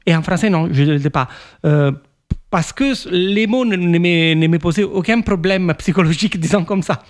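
A man from Italy, Italian his first language, answering interview questions in a thoughtful way with many pauses and restarts. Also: sits high. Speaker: author.

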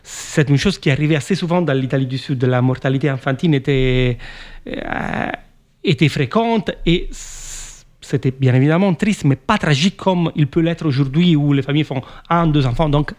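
A man from Italy with an Italian accent, an author answering interview questions in a reflective, hesitant way with many restarts. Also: a little high. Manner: thoughtful, hesitant, with frequent restarts and fillers.

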